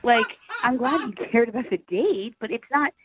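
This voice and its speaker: A woman's voice: fairly high pitch, animated, moderate pace, noisy background. A woman calling in to a radio dating segment, chatting casually and bluntly over the telephone. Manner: candid, blunt. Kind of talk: casual phone conversation. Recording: thin phone line.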